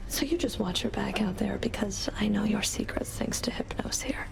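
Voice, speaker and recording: A woman voicing a cool, taunting underworld fury in a video game, with a creaky, breathy, low-key delivery. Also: moderate pace, in a whisper, poor audio. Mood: cool threat.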